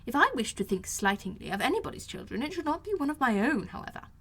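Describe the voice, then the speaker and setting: A middle-aged mother from a classic novel, pitched very high. She is fussy and scheming, gushing her words with insistent emphasis. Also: sing-song, quick pace, fairly bright tone, husky, heavily acted. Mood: indignant, coaxing.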